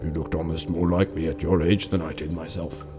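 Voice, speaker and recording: Man playing a very deep-voiced old exiled king. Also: flat delivery, music in the background. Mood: earnest, solemn. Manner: measured, formal, deliberate storytelling. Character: old exiled king, gentle wise grandfather. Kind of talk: amateur radio drama acting.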